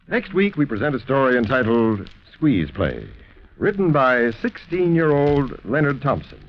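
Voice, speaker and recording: American guy hosting old radio mystery show, dramatic swooping delivery, muffled recording. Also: mid-range voice. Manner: theatrical, formal. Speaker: mystery show host and announcer. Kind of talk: 1940s radio scripted narration.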